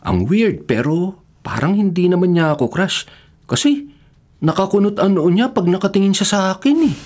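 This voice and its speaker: An actor playing an anxious yet cocky young college student from the province, with a Filipino accent, in melodramatic radio drama style. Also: male voice, high-pitched, normal speed. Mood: musing. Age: young adult. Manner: expressive, melodramatic thinking aloud. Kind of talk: Filipino radio drama acting with spoken thoughts. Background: music bed.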